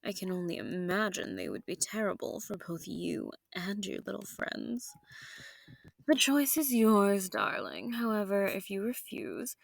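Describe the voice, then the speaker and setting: A woman playing a centuries-old, sadistic and possessive female vampire in an intimate audio roleplay, silky and taunting, slipping into breathy whispers. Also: medium pitch, sing-song, measured pace, no echo, heavily acted. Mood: taunting, amused, then menacing. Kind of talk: intimate scripted audio roleplay addressed to the listener.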